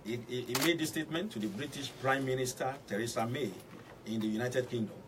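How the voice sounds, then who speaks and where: A Nigerian man hosting a radio show, delivering formal commentary and introductions with deliberate, emphatic phrasing. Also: medium pitch. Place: radio studio. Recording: distant mic with faint background noise.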